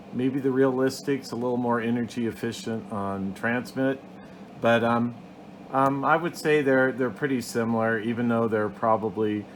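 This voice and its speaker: A man giving a casual hands-on demonstration as host of a hobby video, talking in a halting, plainspoken, methodical way. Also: mid-range voice, fairly bright tone, slight background noise.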